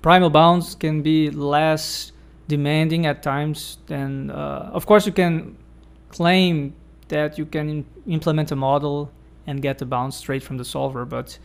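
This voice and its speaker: A man's voice: on the high side, fairly even intonation, measured pace, gravelly, clear, resonant tone. A man hosting a casual academic conversation, explaining his points carefully with frequent fillers. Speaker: host and academic supervisor.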